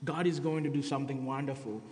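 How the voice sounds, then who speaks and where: A man's voice: mid-range voice, steady tone, fast talker, darkish tone. Kenyan male preacher in his thirties, earnest testimony, roomy sanctuary sound. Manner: earnest testimony, reading scripture aloud. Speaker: guest preacher. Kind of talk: sermon. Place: church sanctuary. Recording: echoey church hall.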